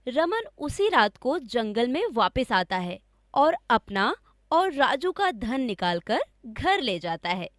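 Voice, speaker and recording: A woman's voice: a little high, sing-song, slow pace, a bit bright, no echo, clean studio sound. A woman narrating a scripted story for children as a storyteller.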